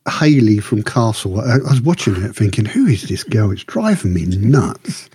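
Male podcast co-host, husky and relaxed, halting conversational delivery, rambling through opinions. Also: lowish voice, no echo, clean studio sound. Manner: rambling, halting, circles back. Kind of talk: casual discussion of TV shows.

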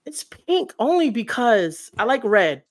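A young adult woman with a deeper voice, hosting a casual interview in a supportive, easygoing way. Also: big pitch swings, measured pace. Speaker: host.

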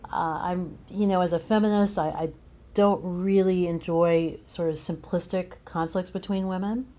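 A woman with a slightly low-pitched voice talks as a guest in an interview. The delivery is reflective and earnest, building ideas out into long, considered thoughts. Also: steady tone, moderate pace, dark tone. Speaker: guest playwright discussing their work; teacher.

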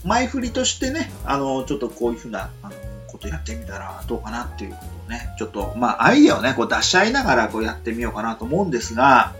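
Male radio host, chatty, filler-heavy, animated pitch. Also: quick pace, few pauses, soft background music. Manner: lots of filler words, lively rising pitch when excited. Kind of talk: late-night casual radio chat.

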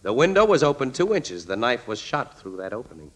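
A man's voice: medium pitch, big pitch swings, fairly quick. An actor playing a shrewd young crime-solving newspaperman in an old-time radio drama, wry and probing, with hesitant phrasing. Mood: accusing, assured.

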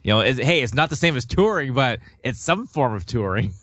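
A man's voice: mid-range voice, very animated, a little fast, fairly bright tone, no echo. A male musician talking as an interview guest, chatty and rambling, often restarting his words. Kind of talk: casual interview. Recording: dull, lo-fi, dry sound.

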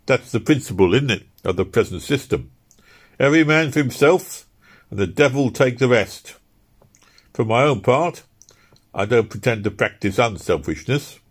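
A man's voice: medium pitch, expressive, moderate pace, slightly rough, voice pushed up. A man voicing an articulate, earnest house painter arguing a point in a storybook reading. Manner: earnest, argumentative.

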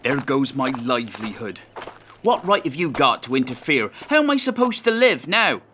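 An actor playing a bitter, defensive young street pimp in an audio drama, rough and aggrieved in his speech. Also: medium pitch, sing-song, moderate pace, sharp tone, slight background noise. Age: young adult.

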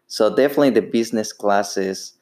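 Ecuadorian-accented man, lowish voice. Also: a little mellow. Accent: Ecuadorian Spanish. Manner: unhurried, many "uh" fillers and restarts. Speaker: podcast host. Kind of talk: solo podcast monologue.

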